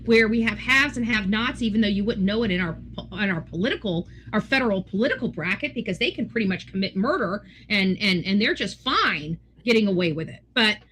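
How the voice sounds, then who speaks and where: A woman's voice: mid-range voice, bright, forceful tone, slightly rough. An American woman giving unscripted, opinionated commentary in a blunt, emphatic, lecturing way. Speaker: online true-crime commentator.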